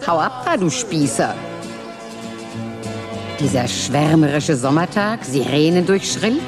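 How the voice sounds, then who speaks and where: A woman's voice: low voice, animated, slow. An actress voicing a worldly, cynical mother figure who talks in a dry, wry drawl with whispered asides, over music. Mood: scornful. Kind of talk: radio play acting and first-person narration. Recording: music behind the voice.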